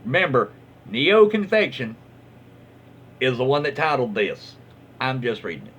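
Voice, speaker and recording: Lively Southern man reading aloud. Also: mid-range voice, measured pace, husky. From Alabama. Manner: wry, joking asides. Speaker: reader of online posts. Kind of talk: casual reading with commentary.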